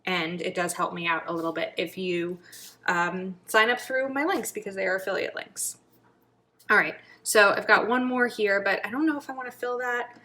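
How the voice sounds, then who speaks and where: A woman chatting casually while decorating her planner, thinking aloud and sometimes dropping to a murmur, her throat a little raspy from a long filming session. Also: mid-range voice, normal speed, pushed and bright. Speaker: planner vlogger. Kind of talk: casual planning video chat.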